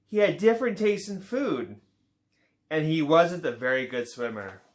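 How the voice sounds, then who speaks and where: A man reading a children's picture book aloud in a relaxed way, with small slips and playful asides. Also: fairly high pitch, normal speed. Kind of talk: read-aloud children's story.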